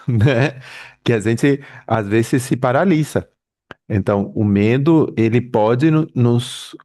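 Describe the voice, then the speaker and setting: A man's voice: mid-range voice, a little mellow, no room sound. Man with an accent in a dry, close recording. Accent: foreign accent in Portuguese. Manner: earnest, explanatory, repeats key points. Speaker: co-host of a live talk. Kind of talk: live-streamed motivational talk.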